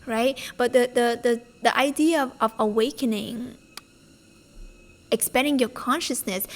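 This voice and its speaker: High-voiced woman. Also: fairly bright tone. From Vietnam. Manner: conversational, emphatic, with rhetorical questions and frequent asides. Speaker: spiritual self-development video host. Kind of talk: informal motivational talk to camera.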